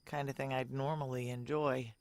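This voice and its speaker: A woman's voice: very deep voice, flat delivery, normal speed, a little mellow. An actress playing a wry, guarded, jealous woman on the run, in dry, clipped noir audio drama dialogue and inner monologue. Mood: wry, introspective.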